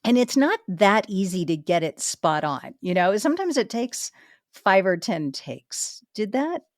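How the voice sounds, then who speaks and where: A woman's voice: medium pitch, sing-song, measured pace, dry recording, studio quality. A woman hosting a podcast interview, enthusiastic and conversational, asking about craft. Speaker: podcast host. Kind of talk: casual interview chat.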